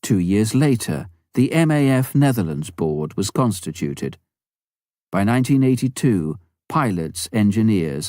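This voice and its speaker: A man reading a memoir aloud as an audiobook narrator, in a measured, clearly phrased scripted delivery. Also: fairly low pitch, mellow, no echo, studio quality.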